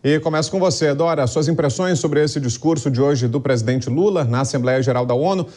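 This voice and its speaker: A man's voice: fairly high pitch, a little fast, a little mellow. A Brazilian man in his thirties anchoring a news programme conversationally from a studio on a lapel mic. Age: 30s. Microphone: lapel microphone.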